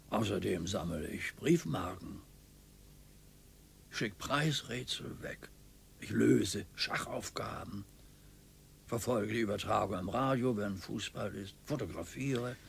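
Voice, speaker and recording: A man's voice: lowish voice, takes it slowly, long pauses, heavily acted. An actor playing a nervous, worn-out retired man of sixty-eight in a radio play, grumbling and weary, sometimes flaring into quarrels. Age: older. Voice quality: gravelly, husky.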